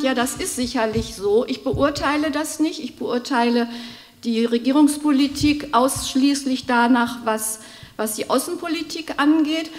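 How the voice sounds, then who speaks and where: A middle-aged woman from Germany, standing in the audience of a large hall and making an earnest, hesitant comment into a stand microphone. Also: high-pitched. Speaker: audience member.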